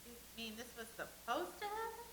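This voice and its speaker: A woman's voice: high voice, very animated, brisk, fairly bright tone, roomy sound, a little hiss or hum. A woman reading the part of an anxious stage actress, hesitant and stammering. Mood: nervous. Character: anxious stage actress awaiting a late colleague.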